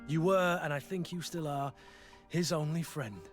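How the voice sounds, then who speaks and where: Game role: loyal, plain-spoken mercenary veteran, male actor, fairly high male voice, earnest delivery over background music. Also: moderate pace, natural voice. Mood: warm, reflective. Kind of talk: video game dialogue acting.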